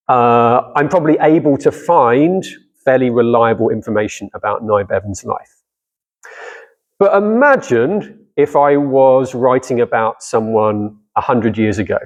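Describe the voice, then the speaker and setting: A man's voice: medium pitch, darkish tone. A middle-aged man giving a guest talk on scripture to a church audience, speaking conversationally and putting questions to his listeners. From not from Cardiff.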